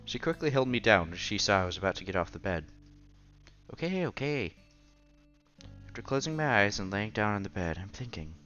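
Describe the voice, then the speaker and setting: A man reading a visual novel aloud as a streamer, with playful, casual asides and quiet game music behind him. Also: on the low side, big pitch swings, fairly quick, long pauses. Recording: slightly dull, faint game music behind.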